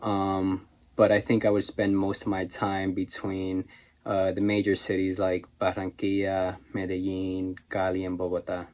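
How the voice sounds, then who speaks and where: Man, very low monotone voice, measured casual talk. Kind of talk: casual personal account.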